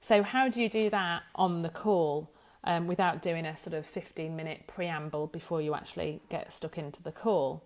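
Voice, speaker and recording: A woman speaks as an expert walking listeners through a practical explainer. Her voice sits a little on the low side. She talks informally and hesitantly, working out her phrasing aloud and correcting herself along the way. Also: fairly even intonation, normal speed. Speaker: data protection law expert presenting an explainer. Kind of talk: informal explanatory talk to camera.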